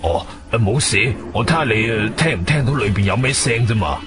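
A man's voice: fairly low pitch, animated, brisk, over music, natural voice. A male actor playing an elderly, grumbling, uneasy building caretaker in a Cantonese radio drama, hemming and hesitating. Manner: hesitant, mumbling with many hems and sighs.

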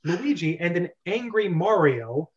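Dull-sounding, higher-pitched young man hosting. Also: sing-song, unhurried. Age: young adult. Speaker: host of a script-reading club, reading stage directions. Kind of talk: casual directing and read-aloud on a group video call. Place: small bedroom, on a video call. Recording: dull lo-fi video-call audio.

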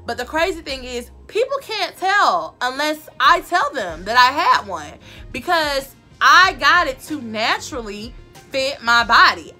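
A woman, giving sassy, emphatic advice to camera in her bedroom, repeating her points, with a loud voice that carries. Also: high voice. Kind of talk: casual advice talk to camera. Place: bedroom, indoors.

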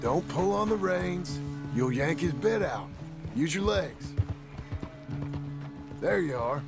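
Gruff rancher dad, gravelly fry, swooping pitch, stern yet caring, terse, game cutscene. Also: male voice, expressive, takes it slowly, over music, full character voice. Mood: gruff coaching. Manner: terse, rural plain-spoken. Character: gruff rancher father, former outlaw, stern but caring. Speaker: game character. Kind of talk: video game cutscene acting.

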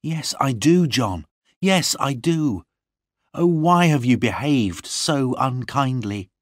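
A man's voice: medium pitch, lively intonation, slow pace, dry recording, clean studio sound, voice pushed up. A man voicing a loving, earnest young noblewoman in an emotional, pleading manner, as in an audiobook story. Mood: tearful, reproachful.

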